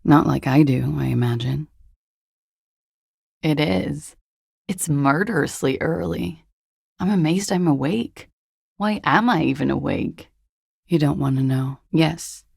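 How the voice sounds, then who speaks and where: An actress playing a jaded, hard-partying young disco dancer in a video game, husky and languid, with a guarded, deadpan delivery. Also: female voice, deep voice, slow, long pauses, no echo, clean studio sound, exaggerated voice. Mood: wry, drowsy. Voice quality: husky, gravelly with vocal fry. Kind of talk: video game voice acting, intimate dialogue.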